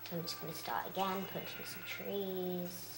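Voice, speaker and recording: A young girl rambles through her let's play with plenty of fillers, her voice croaky and gravelly. She talks low for her age. The room around her sounds echoey. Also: little pitch movement, moderate pace. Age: child. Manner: chatty, rambling, many fillers. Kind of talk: casual game commentary.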